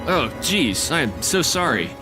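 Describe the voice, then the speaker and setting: An awkward, sceptical young male adventurer in an audio drama, played by a man. The delivery is casual and deadpan with expressive pitch jumps, closely recorded over faint music. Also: unhurried, rough recording.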